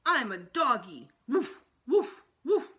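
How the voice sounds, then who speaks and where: A woman's voice: high voice, very animated, slow pace, voice pushed up, heavily acted. A woman voicing a stubborn toddler boy in a storybook, speaking in short, emphatic bursts. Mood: playful. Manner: short, emphatic, defiant outbursts. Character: stubborn toddler boy refusing food, playing a dog.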